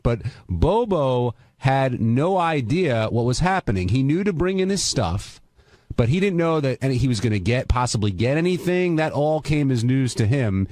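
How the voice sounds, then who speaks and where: A man's voice: medium pitch, a little mellow, no echo. A man hosting a radio recap in a conversational, unscripted style. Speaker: radio wrap-up host.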